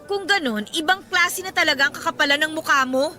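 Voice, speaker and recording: Sharp, high-pitched, indignant mother. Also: fast talker, faint music behind. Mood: confrontational, suspicious. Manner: biting, sarcastic retorts. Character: indignant, sarcastic mother, bitterly wronged by her half-sister. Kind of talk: emotional radio drama acting.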